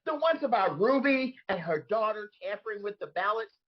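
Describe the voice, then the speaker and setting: A woman acts out an aggrieved, dramatic disgraced crony in a comic table read. The voice is husky and swings widely in pitch. The audio is a little dull. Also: lively intonation, moderate pace, lightly acted. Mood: exasperated. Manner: indignant, emphatic, dramatic. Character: Rudy, aggrieved, bewildered disgraced man trapped in woman's body. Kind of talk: comedic table-read acting.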